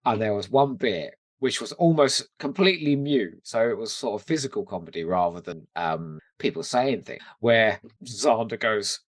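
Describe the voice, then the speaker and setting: Animated man with lively, swooping pitch. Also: normal speed, hardly any pauses, no room sound. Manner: animated, sweary. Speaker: podcast co-host. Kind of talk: casual chat about a TV show.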